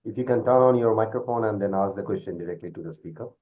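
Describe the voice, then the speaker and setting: A man's voice: fairly low pitch, quick pace, a little mellow. A man moderating an online panel, giving brief instructions with a filler or two.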